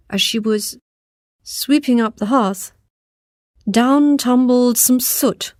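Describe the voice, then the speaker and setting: A woman's voice: on the high side, dry recording, studio quality. A woman narrating a scripted fairy tale as a storyteller.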